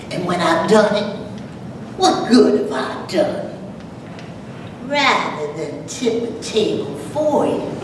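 A woman voicing a feisty, eerie old farm witch in a dramatic, boastful poetry reading. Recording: very echoey hall, distant, noisy rumble.

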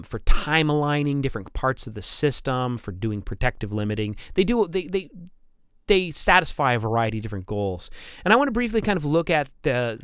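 Clear-voiced male instructor, close dry sound. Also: mid-range voice, a bit bright, no echo. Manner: conversational, explanatory, with stammered repeats. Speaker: instructor, audio engineer. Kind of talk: informal lecture over slides.

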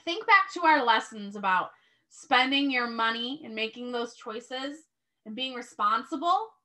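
A woman teacher reading aloud to young children, expressive and instructive, pausing to put questions to them. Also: a little high. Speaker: first grade teacher.

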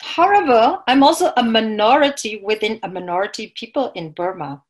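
A woman from Myanmar, giving an online academic keynote lecture over slides in a measured way, sometimes correcting herself mid-word. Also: fairly high pitch, lively intonation. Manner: measured, with occasional self-corrections. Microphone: video call.

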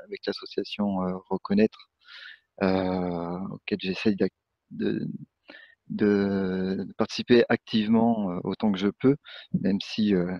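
A young man co-presents a webinar from his office over a video call, his low voice stopping and restarting around frequent fillers. The sound is muffled and dry, with no room around it. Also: little pitch movement, no room sound. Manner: halting, many fillers and restarts.

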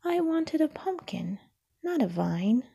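Gentle sad little bear, a lady whispering him, slow, breathy, dark soft tone. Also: high voice, big pitch swings, pitched above the performer's own voice, full character voice. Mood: wistful. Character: gentle, simple male teddy bear, wistful.

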